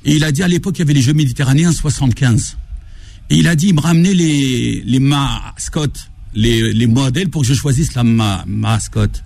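A man's voice: medium pitch, a little fast, vocal fry, darkish tone, a little hiss or hum. A man speaking as a guest author on a radio talk show, telling anecdotes in an enthusiastic, colloquial way and acting out reported dialogue. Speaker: guest author presenting his book on African football.

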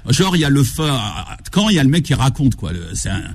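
Man, guest author, husky mid-range voice, enthusiastic colloquial storytelling, acted-out quotes, radio conversation. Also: mid-range voice, very animated, quick pace. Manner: enthusiastic, colloquial storyteller who acts out reported dialogue. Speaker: guest author presenting his book on African football. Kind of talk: animated anecdote-telling in a radio conversation.

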